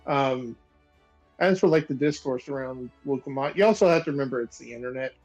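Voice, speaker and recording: A man's voice: medium pitch, brisk, a little mellow, muffled recording, faint music behind. A man from Missouri chatting casually on a panel, blunt and dismissive. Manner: blunt, dismissive of online griping. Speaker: podcast panelist. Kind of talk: casual group chat.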